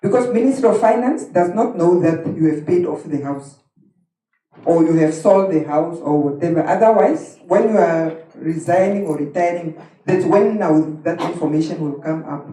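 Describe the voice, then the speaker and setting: A woman facilitating a workshop, briskly keeping the session moving and answering questions. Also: on the low side, dark tone. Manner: brisk, keeps things moving. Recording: echoey hall, distant mic.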